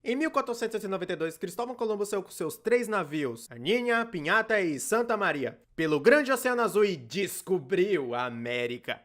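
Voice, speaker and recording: A man with a Brazilian accent doing scripted comedic narration for an animated video in an informal, playfully exasperated manner. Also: high-pitched, brisk, hardly any pauses.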